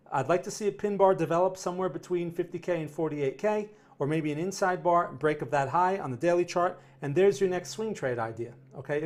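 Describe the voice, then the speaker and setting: A man with a New Jersey accent speaks with a slightly raised pitch. He hosts a casual live stream, answering chat questions in loose, rambling talk. He repeats words and keeps checking in with "right?" and "okay?". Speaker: trading stream host. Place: home studio near New York City.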